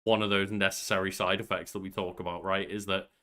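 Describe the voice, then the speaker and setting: A man's voice: low-pitched, level tone, quick pace, a bit bright. A man in his thirties co-hosting a podcast with casual, joking commentary and some swearing. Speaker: podcast co-host.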